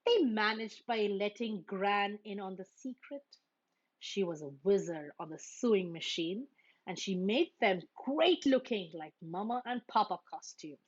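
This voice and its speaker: A woman's voice: medium pitch, a little slow, highs cut off. A woman reading a children's story aloud, expressively acting out the characters. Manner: expressive, acts out the characters' lines. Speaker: storybook reader.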